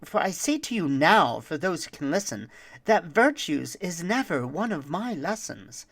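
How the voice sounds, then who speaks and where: A performer voicing a boastful, roguish thief who tells a rhyming holiday tale with dramatic, deliberate delivery. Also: medium pitch, sing-song, a bit bright, heavily acted. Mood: sly, wistful. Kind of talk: theatrical rhymed storytelling.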